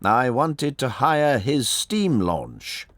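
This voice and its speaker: A man reading an audiobook, voicing a shrewd, courteous gentleman detective in a measured, smooth-talking manner. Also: medium pitch, very animated, no echo, studio quality, pitched above the performer's own voice, full character voice. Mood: mildly disappointed. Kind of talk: audiobook character voice.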